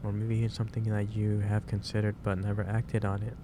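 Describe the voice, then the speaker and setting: A man playing a gentle, caring office boss in an ASMR roleplay, speaking haltingly with repeated phrases over a noisy background. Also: fairly low pitch, monotone, lightly acted. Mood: gently concerned. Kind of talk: soft-paced ASMR roleplay monologue. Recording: clearly noisy background hiss or ambience.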